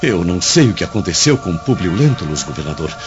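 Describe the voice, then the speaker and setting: Resentful guardian, fast and swooping. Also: male voice, sits low, very animated. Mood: bitter, indignant. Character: resentful, insinuating guardian of ancient Judea. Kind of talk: radio drama acting in Portuguese. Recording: muffled radio drama under loud music.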